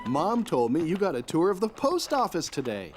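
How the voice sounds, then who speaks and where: Gentle cartoon dad, soothing n patient, voice swoops a lot. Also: male voice, fairly high pitch, wildly swooping pitch, moderate pace, over music. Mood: warm, patient. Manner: soothing, encouraging. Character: gentle, patient young father at bedtime. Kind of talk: children's cartoon acting, storybook telling.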